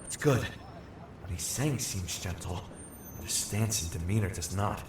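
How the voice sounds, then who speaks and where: An actor playing an earnest, wary young shapeshifting creature in an audio drama, thinking aloud in a naive, formal way. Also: male voice, sits low, animated, unhurried, reverberant, far from the mic, noisy background. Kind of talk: audio drama acting with inner-thought narration.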